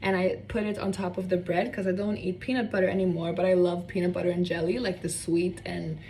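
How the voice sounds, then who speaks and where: A woman's voice: lowish voice, little pitch movement, fairly quick, a little mellow. Chatty woman, slightly distant mic. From Norway. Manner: chatty, rambling, wanders off on tangents. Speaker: cooking vlogger. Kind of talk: casual cooking vlog chat. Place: home kitchen. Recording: slightly distant mic with faint room noise.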